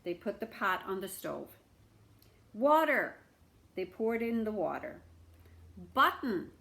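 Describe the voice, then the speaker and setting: A woman's voice: mid-range voice, animated, moderate pace, creaky. A woman storyteller reading a children's book aloud expressively, with asides to her listeners.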